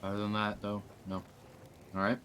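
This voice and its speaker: A man's voice: lowish voice, monotone, moderate pace, a bit bright, a little hiss or hum. A man in casual in-game roleplay chat, speaking in a blunt, assertive, informal way. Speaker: player in an online zombie survival roleplay.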